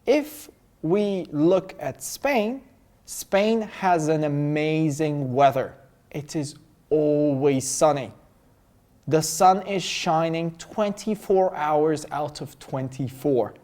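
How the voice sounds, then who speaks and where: A man's voice: fairly high pitch, takes it slowly, a little mellow. A man from Russia speaking to viewers in an upbeat way, giving a short English lesson monologue. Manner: upbeat, addresses viewers directly as guys. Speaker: English teacher.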